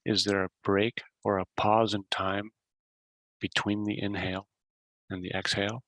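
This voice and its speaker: A man leading a guided meditation as host, giving simple instructions in short phrases with long pauses. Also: lowish voice, little pitch movement, a bit bright, no echo, rough recording.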